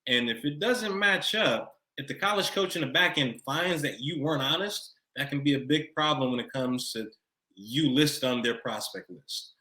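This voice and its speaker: A man's voice: mid-range voice, moderate pace, bright, forceful tone, roomy sound. An American man giving advice as a recruiting expert, speaking emphatically and repeating his key points in plain, instructive language. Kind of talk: advice to camera for students.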